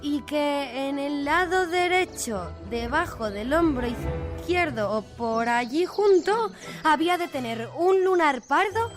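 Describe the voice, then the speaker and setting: A woman's voice: high voice, animated, slow pace, over music. A woman playing a courtly princess in a radio drama, speaking in a formal, theatrical way. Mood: courtly, coaxing. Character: feigned princess, courtly lady pleading to a knight.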